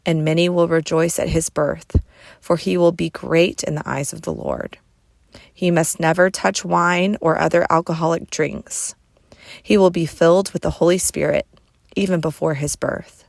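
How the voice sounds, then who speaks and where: A woman reading scripture aloud in a measured, careful manner, as a church reader. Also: fairly low pitch, creaky, dry recording, clean studio sound.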